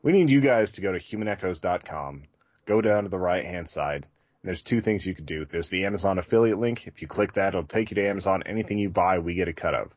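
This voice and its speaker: Low-voiced man, close dry sound. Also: fast, no echo. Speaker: podcast co-host. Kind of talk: casual podcast chat.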